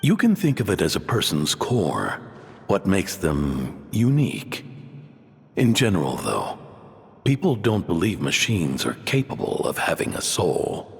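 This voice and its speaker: A man voicing a gentle, philosophical inventor in a video game, measured and reassuring, with a long echo on his voice. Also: deep voice, sing-song, creaky, faint music behind, full character voice. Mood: tender, thoughtful. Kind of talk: video game character acting. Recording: long reverberant echo effect.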